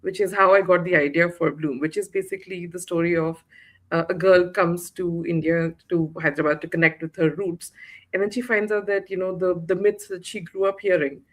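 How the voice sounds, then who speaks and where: Woman author from the uae, lowish voice, lots of ums and restarts, video call chat. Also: fairly even intonation, a little fast. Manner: hesitant, many fillers and restarts. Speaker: guest author. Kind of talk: casual interview chat.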